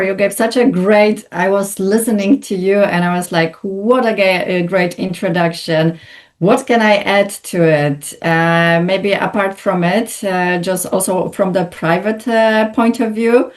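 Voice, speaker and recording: A woman from Poland, speaking as an interview guest in a hesitant way with many filler sounds. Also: medium pitch. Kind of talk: conversational interview.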